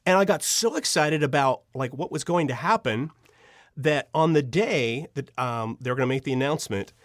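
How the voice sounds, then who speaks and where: A man telling personal stories as an interview guest, casual and animated, acting out reactions as he goes. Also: medium pitch, moderate pace, no echo, studio quality. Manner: animated, acts out reactions and dialogue. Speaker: interview guest, audio drama writer and producer.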